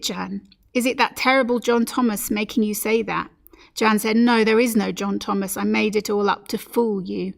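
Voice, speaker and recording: A woman's voice: mid-range voice, creaky. A woman reading a story aloud at a literary event, narrating and speaking the dialogue. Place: café at a live-streamed reading event.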